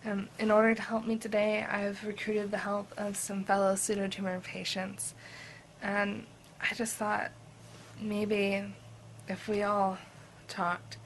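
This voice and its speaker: A woman's voice: mid-range voice, a little hiss or hum, poor audio. A woman hosting a personal video, explaining in a plain, earnest way to camera. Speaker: video host, patient advocate.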